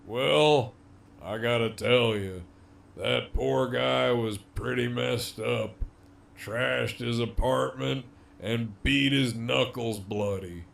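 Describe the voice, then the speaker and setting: A man's voice: mid-range voice, takes it slowly, creaky, a bit bright, full character voice. A man playing a cocky, menacing, wryly amused imprisoned gang leader in an audio drama, with a swaggering, foul-mouthed drawl. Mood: wry, reflective.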